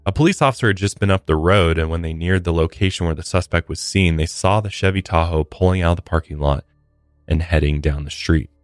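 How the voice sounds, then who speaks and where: Deep-voiced man telling a true crime story. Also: a little fast, dry recording, clean studio sound, soft background music. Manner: conversational, reads case details with asides. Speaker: true crime podcast co-host.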